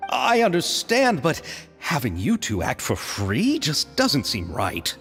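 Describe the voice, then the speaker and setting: Game role: earnest, anxious male film director, hugely swooping pitch, hesitant, music underneath, long reverb. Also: huge pitch swings, slow pace, a bit bright, full character voice. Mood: flustered. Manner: hesitant, flustered, effusive. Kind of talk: video game voice acting.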